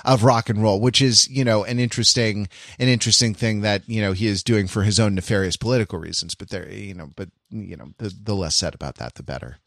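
A man casually hosting a panel chat in a halting, wry, mock-serious way. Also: lowish voice, fairly bright tone, dry recording, studio quality. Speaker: podcast host.

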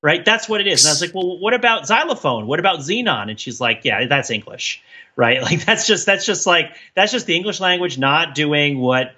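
A man's voice: a little high, animated, fairly quick, pushed and bright, husky, muffled recording. A man co-hosting a casual podcast discussion, explaining things in a rambling, digressive way and often checking in with "right?". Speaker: podcast co-host.

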